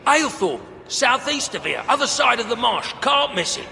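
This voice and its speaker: Excitable, high-pitched gravelly dwarf man. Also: moderate pace, heavily acted. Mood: rambling, concerned. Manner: colloquial, blustering, indignant. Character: excitable grumbling male dwarf craftsman, easily flustered. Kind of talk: video game cutscene acting. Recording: reverberant hall, faint background noise.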